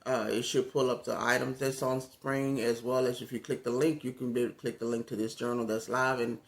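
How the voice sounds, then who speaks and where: A man with a raspy, gravelly voice talks casually into his phone as a content creator. He rambles in a relaxed way, full of fillers, at an everyday middle pitch. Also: a little fast. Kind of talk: casual talk to camera.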